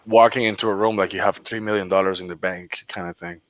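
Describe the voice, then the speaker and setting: A man in his thirties hosting a podcast, relaxed, chiming in with questions and short agreements. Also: deep voice, quick pace. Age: 30s. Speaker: podcast host. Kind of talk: casual podcast chat.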